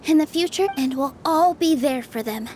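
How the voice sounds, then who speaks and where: A woman voicing a gentle, earnest young dancer with a soft, sincere delivery in a video game. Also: sits high, normal speed, a little hiss or hum, natural voice. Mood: warm, earnest.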